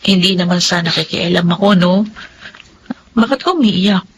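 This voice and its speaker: Woman acting a frank, brisk young Filipina student. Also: lowish voice, a little hiss or hum, lightly acted, rough recording. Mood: blunt. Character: spirited, outspoken working student, a young woman. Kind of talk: Filipino radio drama acting.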